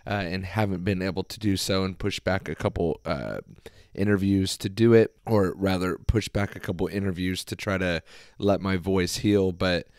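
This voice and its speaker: A man hosting a podcast, talking casually and hoarsely with his voice nearly gone, rambling with fillers and restarts. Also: low-pitched, fairly even intonation, normal speed, darkish tone, no echo, studio quality. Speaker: podcast host. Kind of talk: casual solo podcast talk.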